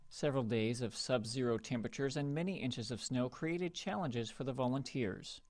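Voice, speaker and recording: A man's voice: medium pitch, moderate pace, darkish tone, no room sound. A man with an American accent reading a scripted television news report as a field reporter.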